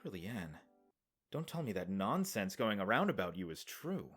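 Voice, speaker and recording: An animated male voice from an actor as an earnest young former servant in an audio drama. He stammers and pleads desperately, his pitch swinging widely. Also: moderate pace, faint music behind. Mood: stunned, emotional.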